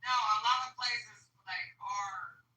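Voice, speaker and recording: Blunt woman, bright edge, distant echoey mic, faint background hiss. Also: mid-range voice, takes it slowly. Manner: blunt, swears, pushes back. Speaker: discussion participant. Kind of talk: casual heated conversation. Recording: roomy echo, distant mic, faint noise.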